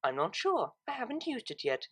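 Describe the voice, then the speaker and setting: Prim young female pony, refined, naive, anxious, precise wording, swooping high pitch, storybook character acted by a woman. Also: huge pitch swings, normal speed, slightly rough, exaggerated voice. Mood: prim, deadpan. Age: young adult. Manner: proper, precise, tentative.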